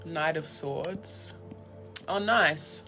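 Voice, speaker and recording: A man with a New Zealand accent giving a casual, conversational tarot reading in a reflective, halting way. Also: on the high side, over music. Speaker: tarot reader and host.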